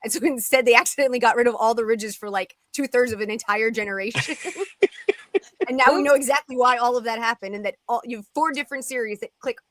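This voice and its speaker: A woman's voice: fairly high pitch, fast talker, fairly bright tone, no room sound. A woman taking part in a table read, chatting casually as a fan.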